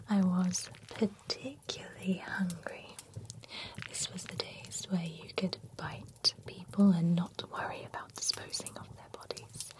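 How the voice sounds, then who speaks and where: A woman in an ASMR roleplay, whispering softly as a nosy, genteel female vampire running a spa, chatty and giggly, close to the microphone. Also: fairly low pitch. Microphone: close sensitive ASMR microphone.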